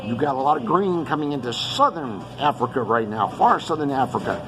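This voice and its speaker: A man's voice: medium pitch, lively intonation, a little fast, music in the background, poor audio. A man who grew up around Los Angeles gives a rambling monologue, listing one place after another with many fillers. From Los Angeles area, California. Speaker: host giving an earth-changes briefing.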